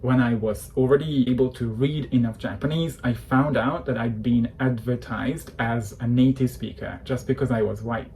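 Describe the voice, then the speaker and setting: Man in his thirties, coach delivering a fired-up persuasive rant, mellow tone, faint hiss underneath. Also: medium pitch, rough recording. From Hungary. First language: Hungarian. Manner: passionate, persuasive, opinionated. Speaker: English coach. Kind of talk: direct-to-camera talk.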